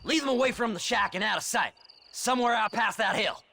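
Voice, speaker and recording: A man's voice: sits high, fairly quick, creaky, bright, forceful tone, slight background noise, full character voice. A man playing a half-insane, filthy, obsessive treasure hunter in a Western game, with a Southern American accent and an erratic, muttering, shrieking manner. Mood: scheming. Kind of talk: dramatic Western video game acting.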